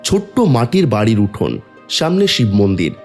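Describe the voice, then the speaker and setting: A man's voice: mid-range voice, wildly swooping pitch, normal speed, darkish tone. A man narrating a scripted, dramatic horror and devotional story over music.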